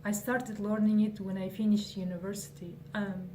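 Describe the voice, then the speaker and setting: A woman's voice: mid-range voice, little pitch movement, moderate pace, a little mellow. A young woman from Kazakhstan, whose first language is Kazakh, answering interview questions in English with many fillers and restarts. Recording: faint noise, distant from mic.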